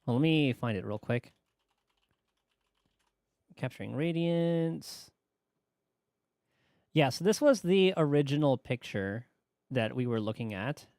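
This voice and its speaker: A male game streamer chatting casually, with a mid-pitched voice that moves up and down a lot. He rambles tentatively as he thinks aloud, recorded close and dry. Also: lively intonation, slow pace, pauses often, a little mellow, dry recording. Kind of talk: casual livestream chat about game mechanics.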